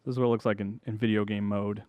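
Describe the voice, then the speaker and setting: A man's voice: fairly low pitch, flat delivery, quick pace, dull audio. A man hosting a game show segment, chatting casually and enthusiastically as he explains gameplay. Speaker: video game journalist, host. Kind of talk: casual chat, commentary over gameplay.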